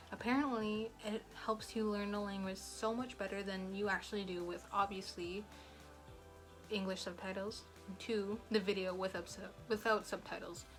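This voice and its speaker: A young woman giving casual language-study advice in a rambling, chatty way, full of fillers. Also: medium pitch. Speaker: language learning vlogger. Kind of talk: casual talking-head advice.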